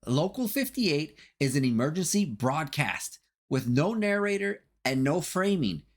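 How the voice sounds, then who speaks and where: A man narrating a scripted horror video essay. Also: on the high side.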